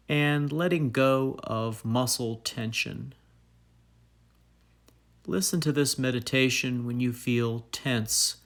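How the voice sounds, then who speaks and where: A man narrating a guided meditation in a calm, soothing, deliberate way, leaving long pauses. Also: medium pitch. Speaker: meditation guide and fitness trainer.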